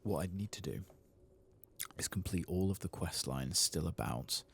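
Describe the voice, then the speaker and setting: Male ASMR gaming host: extremely deep, creaky, hushed and musing, faint background noise. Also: animated, normal speed. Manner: hesitant, muttering to himself as he thinks aloud. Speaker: host of a video game playthrough channel. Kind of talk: soft-spoken ASMR game commentary with whispering.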